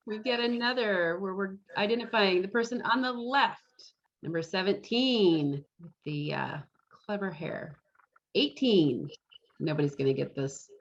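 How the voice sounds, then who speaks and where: A woman's voice: mid-range voice, breathy edge. A woman casually hosting a quiz game over a video call. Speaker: quiz host at an online fan club meeting. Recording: dull, lo-fi video call audio.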